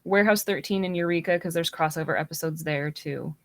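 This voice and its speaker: A woman chatting casually as a podcast guest, telling things in an expressive, conversational way. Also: low-pitched, fairly quick, no room sound, studio quality. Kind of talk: casual chat.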